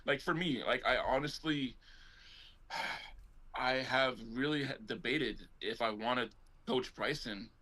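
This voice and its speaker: A man co-hosting a casual sports talk show, telling rambling, animated stories about coaching kids, loud when he gets excited. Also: medium pitch, takes it slowly. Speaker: podcast co-host and youth basketball coach.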